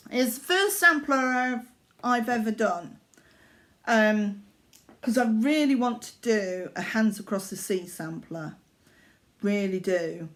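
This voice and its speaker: An older woman from Yorkshire talks slowly and at ease in a fairly high voice. She chats about her crafts at home, rambling and correcting herself, with plenty of ums along the way. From Yorkshire, England. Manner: slow, chatty and rambling, with many fillers and self-corrections. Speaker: craft vlogger. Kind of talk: casual chat showing needlework.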